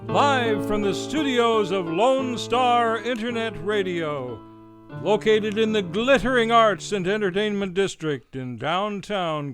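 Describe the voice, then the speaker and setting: Theatrical male host, slightly high-pitched. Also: smooth, dry recording. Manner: theatrical, grandiose showman's delivery. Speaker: radio play host and announcer. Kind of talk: vintage radio show introduction, scripted.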